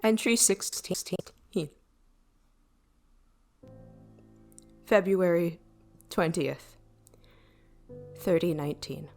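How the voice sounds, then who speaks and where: A woman playing a calm, earnest starship AI in an audio drama, with measured, formal and deliberate phrasing. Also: fairly low pitch, over loud music. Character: calm, earnest female starship AI caring for passengers.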